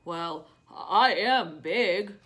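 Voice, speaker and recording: A woman voicing a huge, sad, lonely whale from a picture book, with loud, slow, drawn-out words. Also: fairly low pitch, animated, pitched below the performer's own voice, exaggerated voice.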